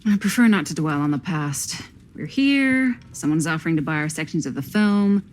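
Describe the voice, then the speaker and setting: Guarded film director, game drama: clipped, defensive, slightly low pitch. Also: female voice, animated, moderate pace, a little hiss or hum. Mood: guarded, firm. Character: guarded, weary older woman film director.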